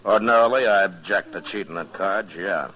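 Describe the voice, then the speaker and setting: An American actor playing a stern, steady frontier lawman in an old radio Western, husky and terse with a dry, unflinching delivery. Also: low voice, fairly quick, muffled recording. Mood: dry, challenging. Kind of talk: 1950s Western radio drama acting.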